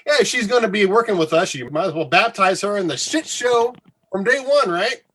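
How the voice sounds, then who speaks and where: A man's voice: high voice, lively intonation, normal speed, a bit bright. A man acting in a comedy radio play as a sardonic, goading radio co-host with a mocking, exclamatory delivery. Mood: gleeful goading.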